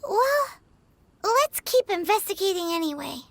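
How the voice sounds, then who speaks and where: Casting: tiny game fairy sidekick, very high childlike voice, naive and cheeky, bouncy intonation. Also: female voice, very animated, a little slow, gravelly, heavily acted. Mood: puzzled. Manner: speaks of self in third person, exclamatory. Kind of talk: animated video game acting. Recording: music under the voice.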